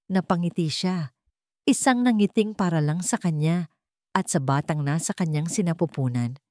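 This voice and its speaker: A woman with a deep voice narrates a scripted drama as a storyteller. The recording is very dry, with no room sound. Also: a little mellow, no room sound.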